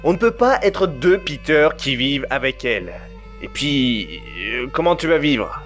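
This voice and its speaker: A man's voice: medium pitch, very animated, measured pace, a bit bright, faint music behind. A man playing an alarmed, protective husband in an audio drama, protesting hesitantly and stumbling over his words. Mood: firm, exasperated. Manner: hesitant, stammering, tense protests. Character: alarmed, protective young husband facing an intruding double. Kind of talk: amateur audio drama acting.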